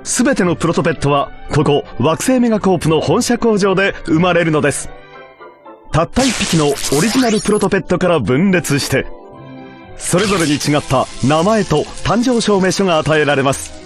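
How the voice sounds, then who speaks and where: A man plays a slick corporate announcer in a spoof advert. His high voice is chipper and reassuring, with polished sales delivery. Faint music sits underneath. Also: animated, fairly quick, close to an everyday voice. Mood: chipper selling. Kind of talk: parody commercial in a video game.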